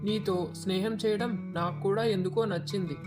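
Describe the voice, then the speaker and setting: An earnest young village man looking for work, acted by a woman, speaks at a middling pitch. Light music plays behind this storybook character. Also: fairly even intonation, fairly quick, close to an everyday voice. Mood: apologetic, friendly. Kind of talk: dramatised village moral tale.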